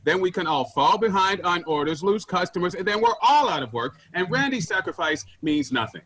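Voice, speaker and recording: Man playing a pompous, husky, high-pitched office boss. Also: animated, fairly quick, a bit bright, exaggerated voice. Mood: indignant, pompous. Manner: argumentative, pompous. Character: overbearing, self-important male office general manager. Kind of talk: staged play reading.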